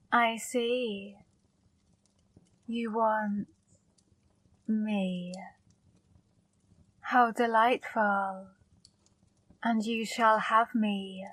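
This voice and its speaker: Casting: woman voicing a seductive female demon for ASMR, slow and level delivery, dry close sound. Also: fairly high pitch, fairly even intonation, no echo, exaggerated voice, rough recording. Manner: slow, soft, commanding. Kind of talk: ASMR roleplay.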